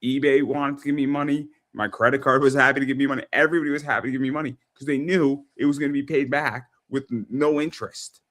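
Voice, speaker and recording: A man in his thirties hosting a casual interview, who talks in quick bursts and repeats words as he gets worked up. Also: medium pitch. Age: 30s. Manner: rapid, stumbling repetitions when excited. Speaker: host.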